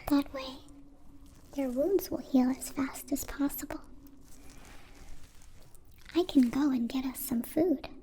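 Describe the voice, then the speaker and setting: Slow, whispery, high-voiced gentle alien played by a woman. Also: slight background noise. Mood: caring, helpful. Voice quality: breathy, whispery. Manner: stilted formal phrasing, careful and deliberate. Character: gentle female alien, naive, devoted new friend. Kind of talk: ASMR roleplay, soft whispering.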